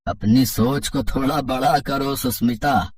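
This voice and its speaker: Slightly distant from the microphone, a middle-aged father in a cartoon moral tale. His medium-pitched voice is rough-edged. He is kindly, protective and reassuring, with some hesitation. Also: steady tone, a little slow, a little mellow, no echo, studio quality. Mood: firm, protective. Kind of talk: Hindi moral-story cartoon acting.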